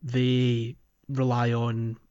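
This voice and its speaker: A man's voice: mid-range voice, completely flat delivery, extremely slow, a little mellow, clean tone, dull audio. A man chatting casually about a video game as a podcast host, hesitant and thinking aloud, with an easy laugh.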